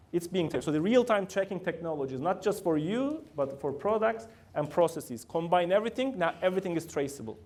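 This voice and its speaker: Man, Turkish accent, middle-aged, slightly high voice, university lecture, questions to the audience. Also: darkish tone. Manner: asks the room frequent questions, loose grammar. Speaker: guest lecturer.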